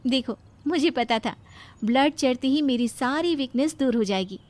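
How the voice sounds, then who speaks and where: High-voiced, headstrong young nurse played by a woman. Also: expressive, normal speed, darkish tone. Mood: confident, reassuring. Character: headstrong, confident young pregnant nurse, a daughter-in-law. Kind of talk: Hindi cartoon moral-story dubbing.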